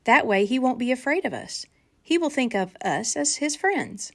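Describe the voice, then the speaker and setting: Woman playing a patient, animated schoolteacher. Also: sits high, vocal fry, voice pushed up, exaggerated voice. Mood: reassuring, explaining. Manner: patient explaining, deliberate. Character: gentle, reasoning female primary school teacher.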